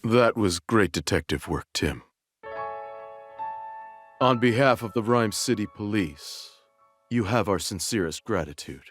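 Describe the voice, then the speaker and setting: Low-voiced, upright police lieutenant. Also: male voice, lively intonation, measured pace, pauses often, fairly bright tone, faint music behind. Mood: formal, grateful. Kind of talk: video game cutscene acting.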